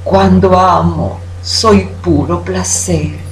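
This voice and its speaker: A woman declaiming a poem in an expressive, deliberate manner with dramatic pauses. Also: on the low side. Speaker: poetry reciter. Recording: roomy echo with background hum.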